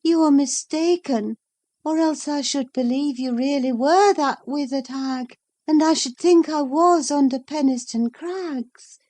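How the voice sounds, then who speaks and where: A woman's voice: high voice, a little slow, dark tone, pitched above the performer's own voice. A woman voicing a feverish, frightened young lady. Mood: dreamy. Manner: dreamy then panicked, pleading. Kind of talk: audiobook character reading.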